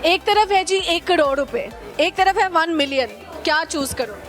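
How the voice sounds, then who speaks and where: A woman's voice: very high-pitched, expressive, moderate pace. A young woman hosting a street interview, teasing her guest and laughing often. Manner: playful, mocking, laughs often. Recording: outdoor street recording with crowd and music.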